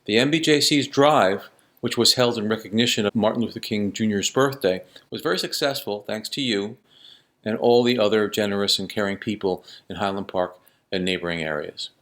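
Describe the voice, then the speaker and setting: A man giving a scripted, measured announcement on behalf of a community coalition. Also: on the low side, a bit bright. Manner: measured, read-from-script delivery. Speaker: coalition spokesperson. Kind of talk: scripted narration.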